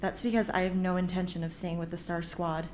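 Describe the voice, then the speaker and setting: A woman's voice: lowish voice, flat delivery, fairly quick, a little mellow, natural voice. A woman playing a determined, defiant teenage girl soldier in an amateur radio drama. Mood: defiant, wry. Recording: faint background noise and ambience.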